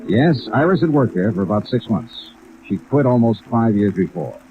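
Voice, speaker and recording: An actor playing a tough, dry American insurance investigator in a clipped, matter-of-fact 1950s radio style. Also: male voice, mid-range voice, lively intonation, moderate pace, narrow, tinny audio, lightly acted. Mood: dry narration. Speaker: radio detective lead and narrator. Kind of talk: 1950s radio acting, hardboiled narration. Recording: thin old radio, music and rain behind.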